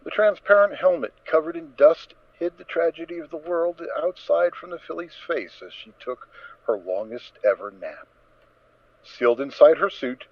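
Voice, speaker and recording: Male narrator for dramatic fiction, middle register, measured and emphatic, dry slightly muffled home-style sound. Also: medium pitch, no room sound. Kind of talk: dramatic scripted story narration. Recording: dull, dry lo-fi recording.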